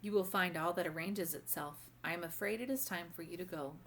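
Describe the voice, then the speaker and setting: A woman voicing a kindly, wise old grandmother in a storybook, gently and formally giving instruction. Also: fairly low pitch, brisk, a little hiss or hum. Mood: instructing. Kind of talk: storybook read-aloud character voice.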